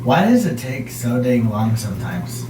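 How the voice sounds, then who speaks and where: A man's voice: mid-range voice, sing-song, normal speed. A man in his twenties hosting a casual podcast chat, often restarting and trailing off mid-sentence. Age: 20s. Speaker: podcast host. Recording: roomy, distant mic, noisy.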